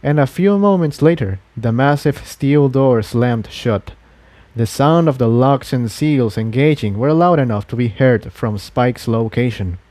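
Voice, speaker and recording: A man reading fiction as a dramatic, measured audiobook narrator. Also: mid-range voice, darkish tone, no echo.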